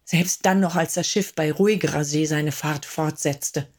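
A woman narrating as a sorrowful survivor of a shipwreck in a radio play, sombre and measured. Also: low-pitched, fairly even intonation, darkish tone.

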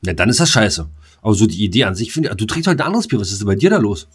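A man's voice: on the low side, sing-song, very fast, husky. A man chatting casually as a podcast co-host, in a flippant, slangy way. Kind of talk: casual chat.

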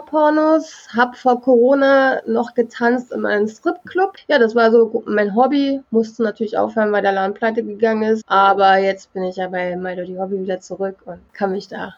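A woman's voice: mid-range voice, fairly even intonation, moderate pace, hardly any pauses. A woman in her thirties from Berlin, chatting casually as a guest on a podcast, with a slightly dull recording. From Berlin, Germany. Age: 30s. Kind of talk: casual chat.

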